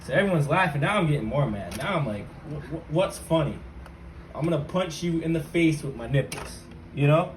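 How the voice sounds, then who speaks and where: Casual male vlogger over clear background noise. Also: a little high, animated, fairly quick. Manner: informal, slangy, addresses viewers directly. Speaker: YouTube vlogger and channel host. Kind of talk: casual hands-on tech tutorial with personal storytelling.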